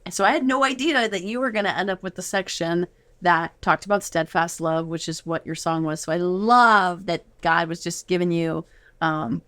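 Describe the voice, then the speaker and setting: Lower-voiced woman hosting a casual interview. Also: moderate pace, fairly bright tone, no echo. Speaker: show host and mother of the guests. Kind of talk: casual, heartfelt studio interview. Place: studio.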